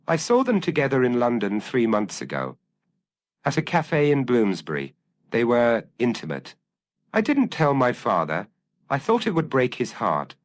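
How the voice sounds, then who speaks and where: Man acting a hollow-voiced, shaken young gentleman. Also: medium pitch, huge pitch swings, moderate pace, no room sound, voice pushed up. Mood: hollow, confessing. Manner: hollow, confessional. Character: shaken young gentleman, reluctant bearer of family secrets.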